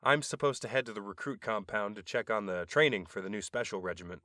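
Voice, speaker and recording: An actor playing a friendly alien advisor who teases in a lively way. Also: male voice, fairly low pitch, quick pace, dry recording, studio quality. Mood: teasing.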